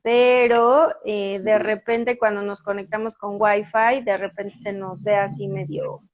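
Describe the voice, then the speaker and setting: A woman's voice: mid-range voice, level tone, a little slow, narrow, tinny audio. A woman with a Mexican accent hosting a casual live stream, chatty and full of fillers and restarts as she waits for her guest. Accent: Mexican Spanish.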